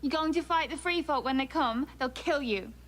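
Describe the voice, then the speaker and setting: A woman's voice: sits high, moderate pace, a bit bright, a little hiss or hum. An actress playing a frightened young wildling woman who anxiously pleads with a man not to leave. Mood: pleading. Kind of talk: TV drama dialogue.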